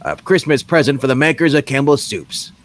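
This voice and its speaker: A man's voice: mid-range voice, a little fast, close to an everyday voice. A man playing a grand, tongue-in-cheek announcer in the style of 1930s radio, with some noise behind him. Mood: grand, playful.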